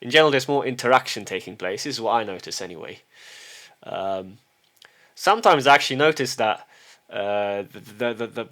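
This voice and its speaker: A man's voice: mid-range voice, fairly bright tone. A middle-aged man talking casually to camera in a loose monologue. He hesitates, repeats words as he restarts, and often checks in with a questioning "yes?". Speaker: vlogger. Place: lives in a small town.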